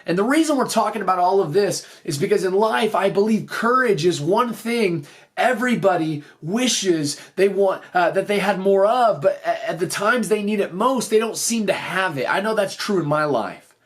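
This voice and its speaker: A man's voice: high voice, animated, normal speed. A man hosting a motivational podcast, speaking in an earnest, explanatory way, his voice slightly off after recently losing it. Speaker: podcast host and teacher.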